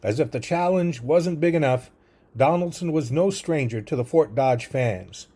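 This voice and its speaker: A man reading a scripted historical essay aloud in a measured, clearly enunciated way. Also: fairly high pitch, lively intonation, dark tone. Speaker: audio essay reader.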